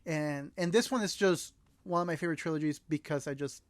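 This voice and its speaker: A man's voice: on the high side, brisk, dry recording. A man from El Salvador, a native Spanish speaker, co-hosting a podcast and casually discussing films with earnest enthusiasm. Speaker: podcast co-host.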